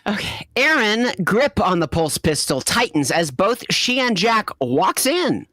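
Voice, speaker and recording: A narrator reads a story aloud at a slow pace with animated pitch and casual asides. The recording is dry and close. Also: no room sound. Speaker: narrator of fan fiction readings.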